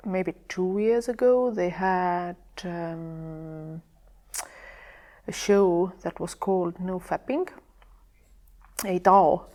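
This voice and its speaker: A woman with an Estonian accent, speaking English as a second language. She is a sexual health educator being interviewed, and she talks haltingly, with many fillers as she searches for words. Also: lowish voice, dark tone. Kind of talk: podcast interview.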